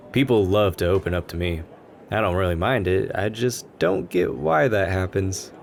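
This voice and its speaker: A man playing a shy, anxious and gentle young stranger who rambles self-consciously in a soft ASMR roleplay. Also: low-pitched, vocal fry. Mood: shy, chatty. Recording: background ambience noise. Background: coffee shop ambience.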